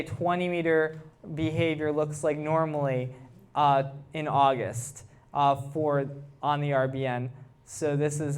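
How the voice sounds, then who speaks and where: A man with a slightly high voice presents technical research to a live audience. He explains it in an informal, conversational way and engages his listeners with questions. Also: clear, resonant tone. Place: live talk to a club audience.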